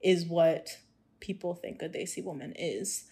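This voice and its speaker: A young woman from Hong Kong chats with friends, speaking her mind in an animated, expressive way. She laughs easily and talks with conviction. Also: lowish voice, unhurried. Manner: animated, outspoken, laughs often. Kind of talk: casual group chat.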